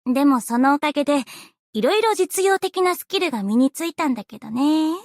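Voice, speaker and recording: A woman playing an earnest, bubbly, easily flustered young female idol in an anime game, with a cute, expressive, girlish delivery. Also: sits high, brisk, dry recording, clean studio sound. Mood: cheerful. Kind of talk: anime game character voice lines.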